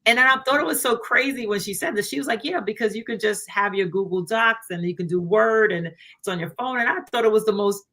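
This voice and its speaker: Bright, chatty woman author on a call. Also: mid-range voice, fairly quick, few pauses. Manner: chatty, animated, many fillers and acted-out quotes. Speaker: author guest. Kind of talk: casual interview chat.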